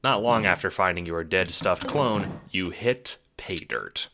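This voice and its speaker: A man's voice: sits low, a little slow, noisy background. A man narrating an audio drama in the second person with a wry, theatrical, sardonic manner.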